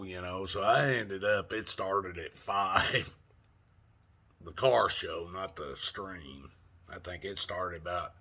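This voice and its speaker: A man's voice: sits low, vocal fry, bright, forceful tone. A man in his sixties telling a rambling, folksy story in a casual vlog, laughing often and cursing mildly. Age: 60s. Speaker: vlogger.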